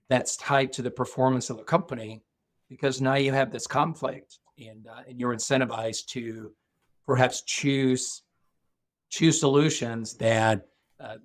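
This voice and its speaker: Measured man. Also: medium pitch. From Norway. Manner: thoughtful, measured, with frequent restarts. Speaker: guest, security executive. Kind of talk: podcast interview.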